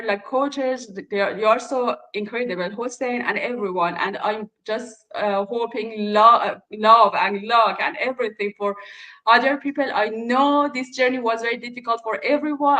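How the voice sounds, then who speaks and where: A woman, speaking earnestly and haltingly about her own business. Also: fairly high pitch, pushed and bright, low-quality recording. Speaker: refugee entrepreneur pitching. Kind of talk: casual spoken pitch.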